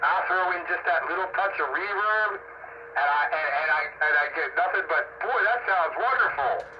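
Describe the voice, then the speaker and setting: A man's voice: high-pitched, lively intonation, moderate pace, muffled recording. A man chatting casually and technically as a radio operator, heard through a radio transmission. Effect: transmitted radio audio. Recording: noisy, band-limited radio transmission.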